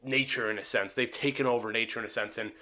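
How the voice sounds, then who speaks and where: Bright-voiced man in casual podcast chat. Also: medium pitch, fairly even intonation, quick pace. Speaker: podcast participant.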